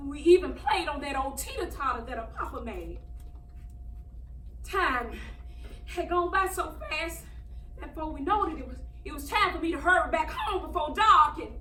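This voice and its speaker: A woman performing a dramatic monologue as a frightened, defiant young country girl, telling her story haltingly and with great emotion. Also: sharp tone. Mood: wistful. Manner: halting, repeating words, highly emotional storytelling. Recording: echoey room, distant mic, faint noise.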